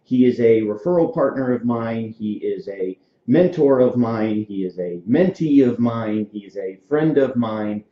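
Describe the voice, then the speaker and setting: A man's voice: medium pitch, lively intonation, measured pace. A man in his forties from Florida, hosting a casual podcast interview and telling stories with frequent fillers. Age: 40s. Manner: friendly, storytelling, frequent fillers. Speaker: podcast host. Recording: echoey room, dull lo-fi sound.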